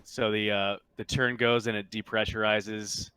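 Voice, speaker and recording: Low, bright-voiced man narrating a game. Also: flat delivery, measured pace, clean tone. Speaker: game master. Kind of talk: improvised tabletop game narration.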